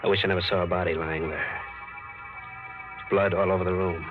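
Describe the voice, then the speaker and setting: Actor as low-voiced hardboiled American ex-con. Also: male voice, flat delivery, brisk, natural voice. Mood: grim, haunted. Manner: terse slangy tough-guy talk. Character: tough wrongly accused ex-con telling his own story. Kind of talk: 1940s radio acting, hardboiled first-person narration. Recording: muffled vintage radio recording with music behind.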